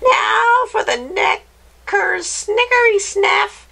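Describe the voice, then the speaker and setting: A woman voicing a gleeful little old witch with a high, screechy voice, chanting spells theatrically in a children's storybook. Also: extremely slow, vocal fry, sharp tone, heavily acted. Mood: gleeful, excited. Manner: theatrical, exclamatory, chanting nonsense rhymes.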